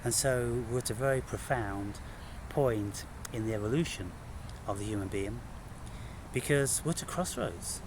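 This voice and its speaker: A man giving an unscripted spiritual monologue, speaking in halting, emphatic phrases with deliberate pauses. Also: medium pitch, noisy background. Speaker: spiritual vlogger and author.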